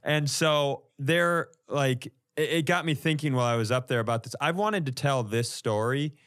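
A man's voice: medium pitch, moderate pace, a bit bright. An American man, a comedian and podcast co-host, telling stories casually and profanely, acting out reactions as he goes.

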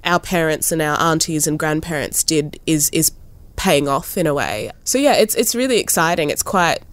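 A young woman in her twenties speaking in a relaxed, thoughtful way in an interview, often pausing with "like" and "you know". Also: sits low, no echo. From born in Ethiopia, raised in Kenya and Australia. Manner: thoughtful, frequent "like" and "you know", lively rises. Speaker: interviewee, artist. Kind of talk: casual conversational interview.

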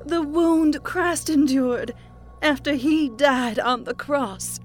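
A woman's voice: sits high, soft background music, pitched above the performer's own voice. A woman putting on a gloating, zealous pregnant patient. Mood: gleeful fervor. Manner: slow, sing-song, mocking religious fervor.

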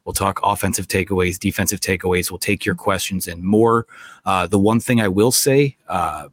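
A man's voice: low-pitched, normal speed. A man hosting a live post-game sports talk show from a stadium press box, losing his voice to a cold, talking casually with lots of fillers. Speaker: podcast host.

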